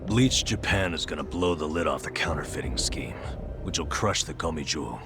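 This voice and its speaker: A man's voice: very deep voice, lively intonation, fairly quick, over music. An actor playing a bitter, world-weary man in an English video game dub, with a somber, resigned way of talking. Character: world-weary, bitter man searching for his lost brother.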